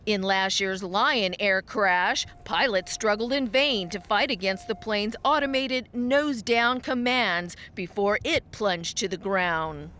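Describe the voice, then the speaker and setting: A woman's voice: mid-range voice, slow pace, few pauses, pushed and bright. A woman narrating a scripted television news report as a reporter.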